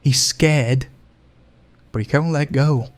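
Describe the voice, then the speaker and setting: A hesitant, musing friend. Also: male voice, a little high, takes it slowly. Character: thoughtful, worried young man confiding in a friend. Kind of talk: audio drama acting.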